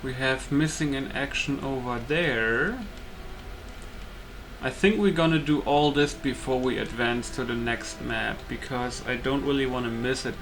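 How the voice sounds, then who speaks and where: A man giving casual, unscripted commentary while he plays a video game, muttering reactions to himself. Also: mid-range voice. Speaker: gaming YouTuber playing and commenting.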